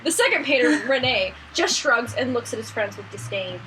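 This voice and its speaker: A young woman with a very high, animated voice, giggly and excitable as she reads a game aloud and banters. She swears freely, and the recording is clearly noisy. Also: normal speed. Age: young adult. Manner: giggly, excitable, foul-mouthed. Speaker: let's play channel host. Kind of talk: casual gameplay chat, reading aloud. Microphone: built-in or fallback mic, not the intended one. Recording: noisy, lo-fi fallback room mic.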